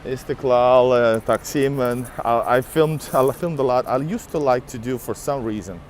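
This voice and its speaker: A man's voice: medium pitch, moderate pace, slight background noise. A man from Finland, native in Russian, chatting casually with viewers on a live stream while walking outdoors. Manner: chatty, greets viewers by name. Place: city street outdoors.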